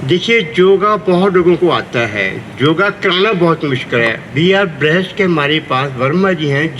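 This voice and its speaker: A man's voice: high-pitched, a bit bright, distant mic, a little hiss or hum, low-quality recording. A man in his seventies, a yoga class member, earnestly giving a grateful testimonial that switches between Hindi and English. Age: 70s. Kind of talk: casual spoken testimonial, mixing Hindi and English. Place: outdoor park.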